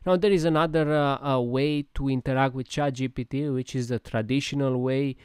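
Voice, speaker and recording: A man's voice: mid-range voice, fairly even intonation, brisk, smooth, dry recording. A man narrating a casual app tutorial, hesitating with many fillers, recorded close to the mic. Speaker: tech tutorial host.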